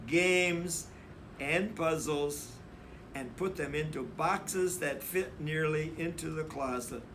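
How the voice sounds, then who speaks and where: A man reads a picture book to children with deliberate emphasis. His voice sits fairly high and is bright and clear in projection, and he takes his time over each phrase. There is faint noise behind him. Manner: emphatic, deliberate, acts out dialogue. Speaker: read-aloud storyteller.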